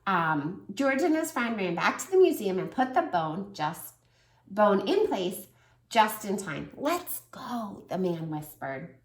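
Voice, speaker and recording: Animated woman reading aloud to toddlers. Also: medium pitch, slow pace. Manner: warm, animated, asks children questions. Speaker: children's story reader and teacher. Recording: echoey room.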